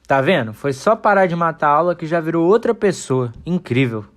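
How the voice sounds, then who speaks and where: Brazilian schoolboy played by an amateur actor for radio play: precise explainer, slightly high-pitched, matter-of-fact. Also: male voice, animated, fairly quick, lightly acted. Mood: teasing. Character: male student, precise, teasing classmate. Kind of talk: scripted amateur radio drama.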